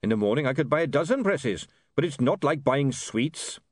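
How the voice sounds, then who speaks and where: A man's voice: mid-range voice, big pitch swings, moderate pace, no room sound, studio quality, voice pushed up. A man voicing a shrewd, grudging male dwarf printer who haggles bluntly in a fantasy audiobook.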